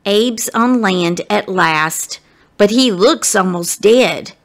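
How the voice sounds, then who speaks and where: A woman reading a children's story aloud as a guest reader, expressive and dramatic, speaking directly to her listeners. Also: mid-range voice, slow.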